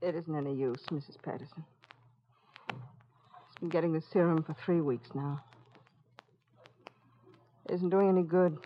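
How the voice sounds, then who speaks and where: A woman's voice: low voice, steady tone, measured pace, pauses often, a little hiss or hum. An actress playing a proud, anxious mother of a sick child in an old-time radio drama. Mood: despairing. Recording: muffled old radio recording.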